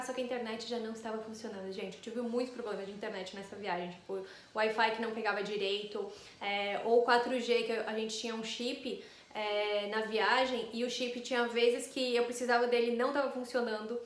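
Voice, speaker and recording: A woman's voice: a little high, reverberant. Chatty woman telling a travel story. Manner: chatty, rambling, frequent tag questions. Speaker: astrologer sharing a travel story. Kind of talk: casual storytelling to camera.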